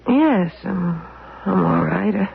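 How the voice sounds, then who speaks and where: An actress playing a dazed housewife who speaks haltingly in an old radio drama. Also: female voice, fairly low pitch, lively intonation, gravelly, breathy edge, exaggerated voice. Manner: hesitant, halting speech. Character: dazed housewife Edith, embarrassed after passing out. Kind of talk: 1970s radio drama acting. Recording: tinny lo-fi old radio broadcast.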